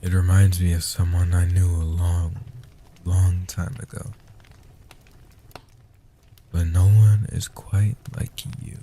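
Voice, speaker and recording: A man playing a centuries-old guardian vampire, gentle and protective, speaking softly and huskily in hushed, slow phrases for a comfort roleplay audio. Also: sits low, level tone, a little hiss or hum. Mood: gentle, reassuring. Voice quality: soft-spoken, husky. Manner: slow, hushed delivery with long pauses.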